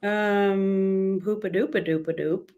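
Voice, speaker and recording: A woman from Quebec with a raspy voice, co-hosting in casual chat and reading listener questions aloud. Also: medium pitch, fairly even intonation, slow, a little mellow, highs cut off. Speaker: co-host and podcaster.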